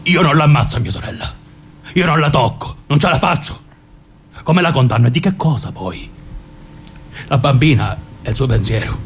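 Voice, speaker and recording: A man playing an insecure, tormented young mobster in a theatrical radio drama, halting and full of questions. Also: natural voice. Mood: anguished. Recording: noisy recording with low rumble.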